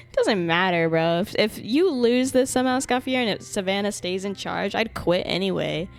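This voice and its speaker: A woman giving casual, slangy live reactions as a game streamer, expressive and giggly, swearing freely. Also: medium pitch, a little slow.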